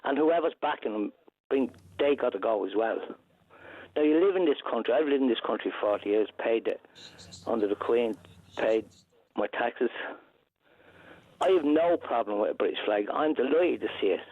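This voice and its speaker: A man's voice: medium pitch, expressive, normal speed, long pauses, husky, dry recording. A man from Ireland, phoning in to a radio show, indignant and emphatic, repeating himself. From Northern Ireland. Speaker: caller. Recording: dry, tinny phone line.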